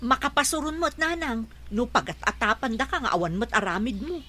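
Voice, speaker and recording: Fed-up nagging wife in a radio drama, highish and really bright. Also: female voice, big pitch swings, fairly quick, exaggerated voice. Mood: aggrieved. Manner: nagging, complaining. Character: exasperated working wife and mother, scolding and indignant. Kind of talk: Ilocano radio drama acting.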